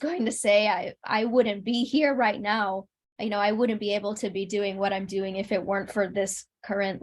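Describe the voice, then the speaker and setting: A woman chatting casually as an interview guest, earnest and modest in the way she talks. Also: medium pitch. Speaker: interview guest, singer and content creator.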